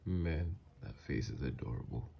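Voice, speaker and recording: A man performs a mafia boss lover for an intimate ASMR roleplay. His voice is very deep, dark and mellow, with a gravelly fry. He is doting and flirtatious under the gruffness, and easily flustered. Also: lively intonation, normal speed, slight background noise, heavily acted. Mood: affectionate, playful.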